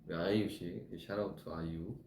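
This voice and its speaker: A man's voice: low voice, fairly even intonation, normal speed, a little mellow, echoey room, slight background noise. A man in his thirties, a musician chatting casually with fans on a live stream, reflectively explaining how he writes his songs. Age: 30s.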